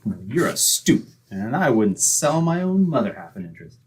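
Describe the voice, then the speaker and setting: A man's voice: medium pitch, big pitch swings, normal speed, darkish tone, a little hiss or hum, close to an everyday voice. A man acting a blunt, grudging Christmas tree seller in old-time radio comedy. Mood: trading insults. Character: curt, unbudging male Christmas tree seller.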